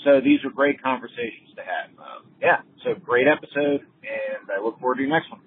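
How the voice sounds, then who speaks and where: A man with a medium-pitched voice speaks casually in a message left for a podcast. The audio is narrow like a phone call, with slight noise underneath. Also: a little fast. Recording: noisy, narrow phone-like recording.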